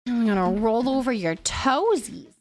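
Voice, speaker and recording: Chatty giggly girl streamer, woman w high voice, super animated, just rambling to chat. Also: a little slow. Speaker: live streamer. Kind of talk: casual livestream chat, reading and answering viewers.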